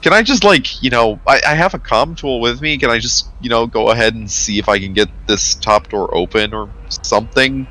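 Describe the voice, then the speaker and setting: A man's voice: mid-range voice, normal speed, few pauses, pushed and bright, natural voice, poor audio. A man voicing a bored, restless sci-fi crew member in a tabletop game, pleading in a nervous, whiny stammer.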